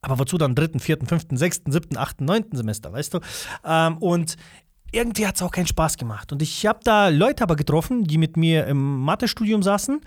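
A young man whose first language is Russian, chatting as an interview guest over a video call. His voice sits a little high and sounds dry and close, with no room echo. He rambles with plenty of fillers and pokes fun at himself. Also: lively intonation, fairly quick. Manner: rambling, self-deprecating, many fillers. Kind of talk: casual interview chat.

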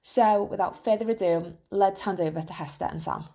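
A woman reading a scripted podcast introduction in a smooth, read-aloud delivery. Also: mid-range voice, fairly quick, mellow. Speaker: podcast announcer.

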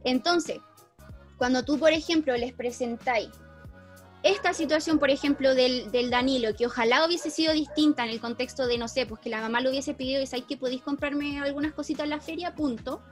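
A woman with a Chilean accent in a casual discussion, explaining things in an animated, slangy, informal way. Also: high voice, brisk, soft background music. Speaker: teacher.

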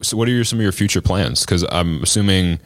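Deep-voiced man asking questions. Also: fast, dry recording, studio quality. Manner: affirming, frequent short backchannels. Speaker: interviewer. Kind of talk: casual conversational interview.

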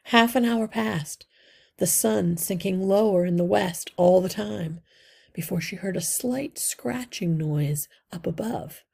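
Slow, expressive, husky woman storyteller. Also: medium pitch. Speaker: storyteller reading a children's novel aloud. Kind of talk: expressive scripted narration for children.

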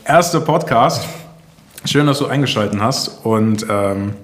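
Male pastor, casual podcast co-host, low-ish voice, easygoing and talkative, roomy echo. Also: a little slow. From Germany. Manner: relaxed, chatty, laughs often, thinks aloud with restarts. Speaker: pastor and church co-founder.

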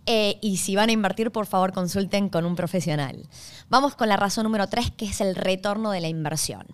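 A young adult woman from Argentina with an Argentine Spanish accent, hosting and explaining to camera with a clear delivery, often checking in with her listeners. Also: medium pitch. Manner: clear, checks in with "¿está bien?" and "¿ok?". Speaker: host.

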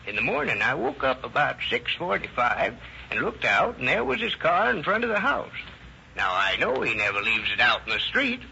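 An actor playing a folksy, talkative neighbor giving a rambling, ungrammatical witness account in an old radio drama. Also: male voice, mid-range voice, huge pitch swings, normal speed, creaky, sharp tone, heavily acted. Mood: folksy, confiding. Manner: rustic, ungrammatical, rambling storytelling. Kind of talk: 1940s radio acting. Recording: old lo-fi radio recording with hiss.

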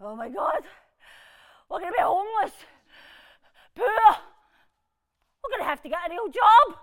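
Casting: spoiled Scottish reality TV daughter, extremely high voice, histrionic, whiny, comedic melodrama. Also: female voice, sing-song, moderate pace, sharp tone, full character voice. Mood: hysterical panic. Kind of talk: comedy drama acting.

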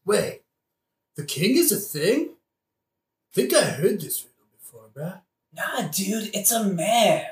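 A woman's voice: medium pitch, sing-song, slow pace, pauses often, a little mellow. A woman voicing a dim, baffled bro henchman with dopey, slang-heavy talk in a satirical audio play. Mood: puzzled. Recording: echoey room.

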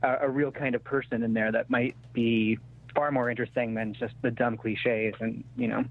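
A man in his thirties chatting casually as an interview guest, halting and self-deprecating as he thinks aloud. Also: medium pitch, flat delivery, vocal fry. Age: 30s. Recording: thin phone-like radio-style sound.

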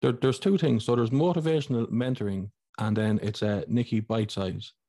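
A man's voice: medium pitch, normal speed, mellow, no echo, studio quality. A man hosting a podcast, chatting informally with rambling, stumbling restarts. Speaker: podcast host. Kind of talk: casual interview chat.

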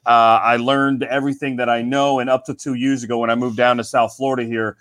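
A man from Cincinnati, Ohio, a cigar company guest in casual podcast chat, telling stories in a relaxed way and often saying "man". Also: medium pitch, a little fast, no echo.

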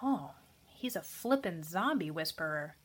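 A woman playing an exasperated, no-nonsense co-host in scripted comic radio banter, with a dry, brisk delivery. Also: lowish voice, expressive, slightly rough. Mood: dryly resigned. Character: exasperated, no-nonsense female co-host keeping order.

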